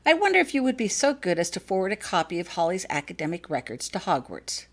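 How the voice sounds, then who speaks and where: A woman voicing a courteous, quietly commanding wizard father in an audiobook story, speaking smoothly and persuasively. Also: on the low side, big pitch swings, fast talker. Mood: smooth, businesslike. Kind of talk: acted fanfiction audiobook dialogue.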